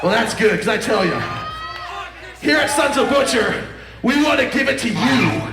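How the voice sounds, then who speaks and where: Hammy rock singer guy yelling and singing way up high, roomy live sound, loud band behind. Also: expressive, moderate pace, over a noisy crowd. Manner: bombastic, hammy. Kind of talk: shouted live stage banter and rock singing. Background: loud hard rock music.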